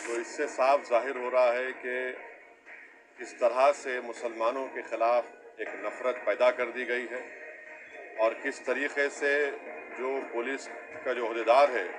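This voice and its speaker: A man making an unscripted statement in halting, deliberate phrases. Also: mid-range voice, level tone, rough recording.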